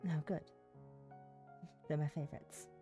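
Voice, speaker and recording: Woman acting low, laid-back young woman. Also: steady tone, a little slow, dark tone, faint music behind. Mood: easygoing. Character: Neve, laid-back young woman, sweet-toothed easygoing friend.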